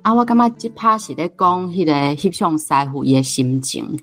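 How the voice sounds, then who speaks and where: A woman's voice: lowish voice, expressive, fairly quick, a bit bright, faint music behind. A woman with a Taiwanese accent giving reflective, unscripted commentary as a guest, with frequent hesitations and trailing particles. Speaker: book club host, guest speaker.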